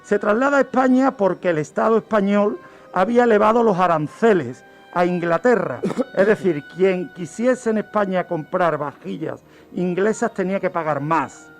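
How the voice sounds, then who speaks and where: A man's voice: sits high, moderate pace. A man telling historical events on the radio in a didactic way, asking questions and answering them himself. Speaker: guest criminologist telling crime history. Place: radio studio. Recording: music bed behind the voice. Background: background music.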